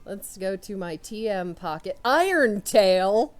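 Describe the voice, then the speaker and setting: A young woman commentating a video game run, loud and excitable, talking to her characters as she plays. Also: mid-range voice, takes it slowly. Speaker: let's player. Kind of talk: casual gameplay commentary.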